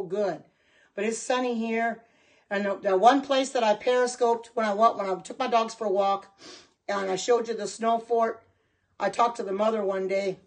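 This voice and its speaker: A woman's voice: medium pitch, darkish tone. A middle-aged woman chatting casually on a livestream, reading out and answering viewer comments as she goes. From Oregon. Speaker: livestream host and kitchen products seller.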